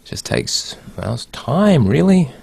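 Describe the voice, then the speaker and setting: A man's voice: medium pitch, big pitch swings, slow pace, creaky, a little mellow, husky, no echo. A man co-hosting and producing a podcast, talking in a laid-back, wry way. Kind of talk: casual chat.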